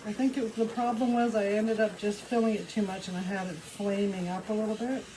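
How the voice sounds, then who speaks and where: A woman with a soft, mellow voice at an average pitch talks through a hands-on craft demonstration. She is casual and unhurried, describing each step as she does it. There is some faint noise behind her. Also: flat delivery, poor audio. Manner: unhurried step-by-step narration of own actions. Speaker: art tutorial host. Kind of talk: casual craft demonstration, talking while working. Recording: clearly audible background noise.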